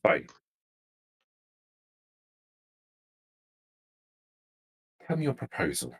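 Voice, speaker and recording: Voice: mid-range voice, huge pitch swings, fast, gravelly, a bit bright, exaggerated voice. A performer voicing a wary, suspicious vampire negotiator in a tabletop roleplay, terse and guarded with probing questions. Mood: guarded, curt. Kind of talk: in-character tabletop roleplay dialogue.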